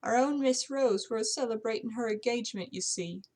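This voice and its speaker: A woman's voice: a little high, normal speed, a little mellow, pitched above the performer's own voice, lightly acted. A woman voicing a proper, tearful older English housekeeper with a put-on British accent, in an audiobook-style story. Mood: tearful, earnest. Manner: proper, deferential, old-fashioned servant speech. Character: tearful prim elderly English housekeeper, loyal servant.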